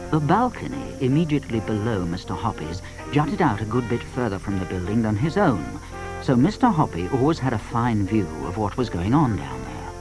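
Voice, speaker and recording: A man narrating a dramatised children's story with expressive, measured phrasing. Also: on the low side, rough recording.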